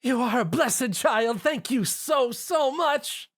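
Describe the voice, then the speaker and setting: A man voicing a distraught motherly female pony baker who sobs in a high, quavering voice. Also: expressive, slow, fairly bright tone, no room sound, clean studio sound, exaggerated voice. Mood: tearful.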